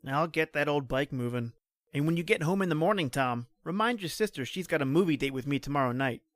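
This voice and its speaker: An actor playing a brash, practical young police trooper in an old-time radio drama, with clipped colloquial delivery. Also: male voice, a little high, lively intonation, fairly quick, a bit bright. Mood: teasing, dismissive.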